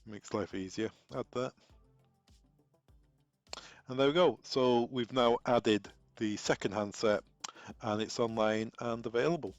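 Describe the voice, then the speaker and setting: A man's voice: mid-range voice, fairly even intonation, unhurried, dry recording, lo-fi sound, faint music behind. A man presenting an unscripted technical tutorial, informally talking through each step aloud as he goes. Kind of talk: unscripted step-by-step software walkthrough.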